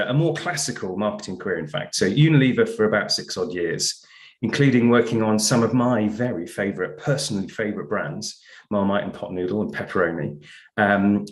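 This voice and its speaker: A man hosting a podcast, introducing a guest and asking questions in a measured, slightly hesitant way. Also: on the low side, echoey room. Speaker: podcast host. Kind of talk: warm guest introduction and interview.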